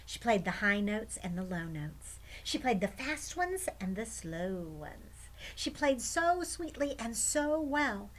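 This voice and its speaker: A woman's voice: on the high side, very animated, slow, few pauses, slight background noise, poor audio. A woman storyteller reading expressively to children, with chatty asides.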